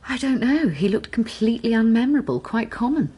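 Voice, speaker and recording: An actress playing an aloof, snobbish wealthy widow in a radio drama, cool, languid and evasive. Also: female voice, a little high, expressive, brisk, warm tone, breathy edge. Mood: cool, detached.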